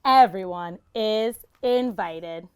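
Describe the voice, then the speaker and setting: A woman's voice: mid-range voice, takes it slowly, clear, resonant tone, natural voice. A woman voicing an anxious but take-charge young storybook girl who calls out in exclamations. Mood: wondering, decisive.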